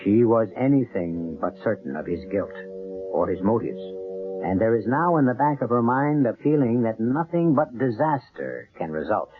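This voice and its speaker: A man hosting and narrating a scripted mystery drama in measured, literary phrasing. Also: mid-range voice, animated. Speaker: host and narrator. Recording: tinny old radio broadcast. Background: music.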